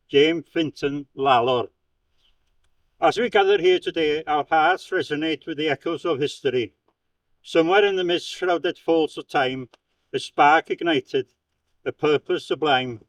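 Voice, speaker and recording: A man from Wales reading a prepared speech aloud in a slow, declamatory way, with stumbles and restarts. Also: on the high side, no room sound, distant mic, poor audio. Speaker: speaker at a commemoration.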